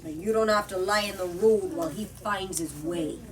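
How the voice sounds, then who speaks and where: A woman voicing a calm, protective father in a storybook reading, quiet, gentle and grave. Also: medium pitch, moderate pace, recorded from a distance, a crowd in the background, lightly acted.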